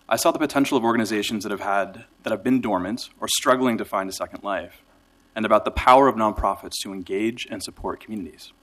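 Guy with a lowish voice doing a formal prepared speech at a council meeting, nonprofit director type. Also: a bit bright. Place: council chamber.

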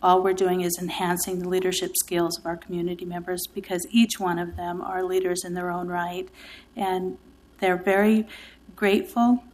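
A woman's voice: fairly low pitch, level tone, a little mellow. Earnest woman giving public testimony. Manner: grateful, earnest, speaks with pauses and fillers. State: sore throat. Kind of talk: public testimony at a city meeting.

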